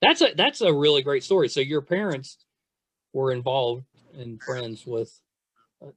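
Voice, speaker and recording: A woman's voice: rumbling low, measured pace. An American woman hosting a podcast, interviewing in an agreeable, casual, chatty way.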